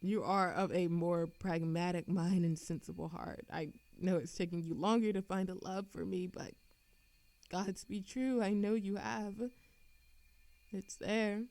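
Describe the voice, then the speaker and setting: A woman's voice: lowish voice, fairly even intonation, unhurried, a little mellow, no echo. A woman acting a lovesick, world-weary immortal in a scripted romantic audio roleplay, with a dramatic, yearning delivery. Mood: tender yearning.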